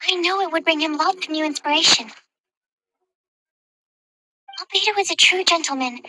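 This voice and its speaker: A woman's voice: very high-pitched, fast talker, pushed and bright, heavily acted, poor audio. A woman voicing a polite, earnest young female alchemist who tends a shop in a video game, slightly apologetic in manner. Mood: concerned, admiring.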